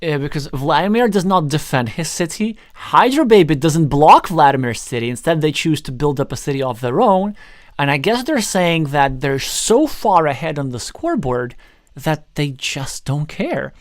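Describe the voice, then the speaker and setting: A man's voice: on the high side, very animated, moderate pace, no echo, studio quality. A man whose first language is Russian, giving live board game commentary in an analytical way, thinking aloud as he goes. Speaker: tournament commentator.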